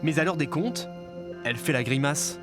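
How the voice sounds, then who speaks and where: High-pitched male documentary narrator over music. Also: moderate pace. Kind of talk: scripted reportage narration.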